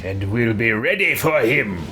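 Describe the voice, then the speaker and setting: A man's voice: mid-range voice, animated, measured pace, noisy background. Man putting on a volatile, proud fantasy hero. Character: volatile, proud male fantasy hero, scheming, quick to fury. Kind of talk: improvised roleplay of a video game character.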